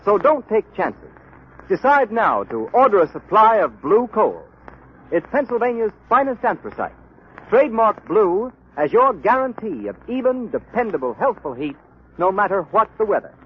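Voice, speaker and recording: Animated, high-voiced 1930s radio announcer. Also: male voice, moderate pace, slightly rough. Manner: formal, emphatic, declamatory. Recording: dull, hissy 1930s radio recording.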